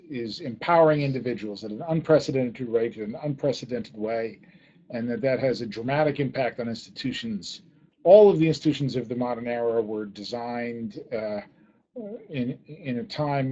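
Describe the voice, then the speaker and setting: A man with a soft-edged, mellow and husky voice at a middle pitch explains his ideas as an interview guest. He talks conversationally and leans on repetition for emphasis. The recording is slightly dull. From born in Ghana, grew up in US embassies in Southeast and East Asia.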